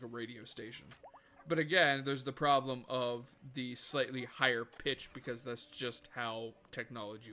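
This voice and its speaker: Bright-voiced man chatting on a game stream. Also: medium pitch, slight background noise. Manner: chatty, rambling, swears freely. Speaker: livestreamer. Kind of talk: casual gaming livestream chat. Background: faint background music.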